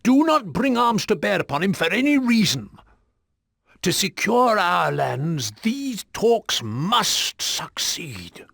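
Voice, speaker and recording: An actor playing an irascible, pompous older lord with a blustering manner in a video game. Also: male voice, sits high, very animated, slow, creaky, fairly bright tone, dry recording, clean studio sound, heavily acted. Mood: formal instruction. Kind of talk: video game cutscene acting.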